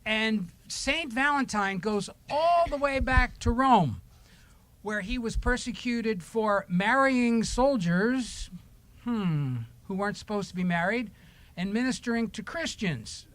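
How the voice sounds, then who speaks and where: A man's voice: sits high, expressive, slow pace, fairly bright tone, no echo. A man leading a children's time at church, asking simple questions, repeating answers and reading short pieces aloud. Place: church service.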